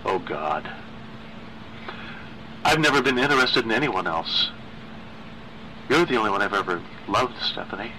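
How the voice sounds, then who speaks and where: A man reading a horror story as a gentle, obsessively lovesick man, speaking in soft, halting, pleading phrases. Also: on the low side, expressive, slight background noise, exaggerated voice, rough recording. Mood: lovesick, dreamy. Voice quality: soft, sighing.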